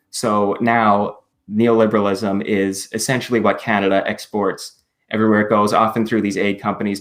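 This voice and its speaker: A man with a lowish voice talks through political history as a podcast guest. He is measured and careful, hedging his claims and pausing on fillers as he restarts sentences. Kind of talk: explaining politics in a podcast conversation.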